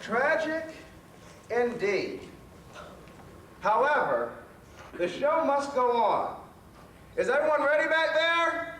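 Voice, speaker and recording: A man's voice: extremely high pitch, big pitch swings, slow, long pauses, a bit bright, exaggerated voice. A man playing a jovial storytelling professor who hosts a children's stage show with slightly stumbling delivery. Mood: theatrical. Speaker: host. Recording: roomy, distant stage recording with faint noise.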